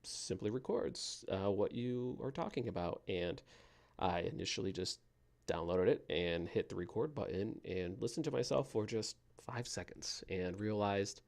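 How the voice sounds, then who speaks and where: A man in his twenties with a soothing voice, hosting a sleep podcast in a slow, meandering monologue with frequent fillers. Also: on the low side, gravelly, darkish tone. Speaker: podcast host.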